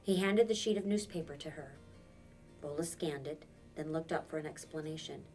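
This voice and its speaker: A woman's voice: sits low, moderate pace, darkish tone, distant mic, soft background music. A woman reads a children's novel aloud as a teacher would, expressively, acting out the dialogue.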